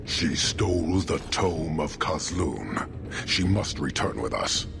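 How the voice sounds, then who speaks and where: Gravelly, very deep stern warrior commander. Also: male voice, animated, slow pace, full character voice. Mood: stern, imperious. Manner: solemn, formal, clipped declarations. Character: towering stern male horned warrior commander, rigid and unyielding. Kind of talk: video game cutscene acting. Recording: loud game music behind.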